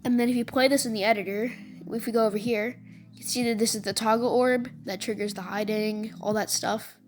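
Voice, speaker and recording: A woman with a fairly high voice talks casually through gameplay like a video creator. Her phrasing is informal and full of gamer slang. Music plays faintly behind her. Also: fairly even intonation, a little fast. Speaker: video creator and game level maker. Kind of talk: casual gameplay commentary and walkthrough. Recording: music under the voice.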